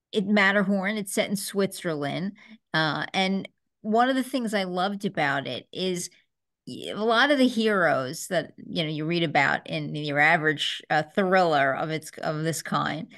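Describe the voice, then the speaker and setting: A woman's voice: deep voice, fairly bright tone. A woman hosting a podcast in a casual, conversational interview style. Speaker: podcast host.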